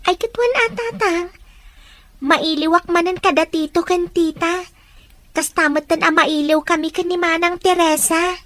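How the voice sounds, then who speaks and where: A woman voicing a very high-pitched college girl. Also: a little slow, fairly bright tone, dry recording. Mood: friendly. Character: young woman college student, daughter.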